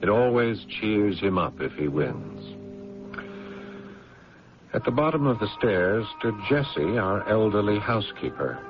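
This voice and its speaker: Deep-voiced weary narrator, music behind. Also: male voice, big pitch swings, measured pace. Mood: weary, brooding. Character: dutiful, restrained elder brother narrating his story. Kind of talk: first-person radio drama narration. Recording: dull lo-fi radio sound, music behind.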